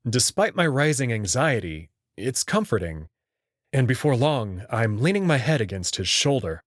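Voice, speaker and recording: This is a man playing the uneasy hero of a visual novel, telling his story in the first person. He is casual and a little wry, with lively intonation at an ordinary male pitch. The recording is dry and close. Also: moderate pace, dry recording. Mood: uneasy, reflective. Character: anxious, skeptical human man narrating his own story.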